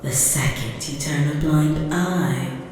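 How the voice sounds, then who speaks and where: Slow, echoing, menacing empress, acted by a woman. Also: sits low, noisy background. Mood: sinister, taunting. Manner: slow, deliberate, theatrical, sinister. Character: menacing female empire ruler who conjures victims' worst fears. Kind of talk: ASMR roleplay voice acting. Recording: cavernous long reverb.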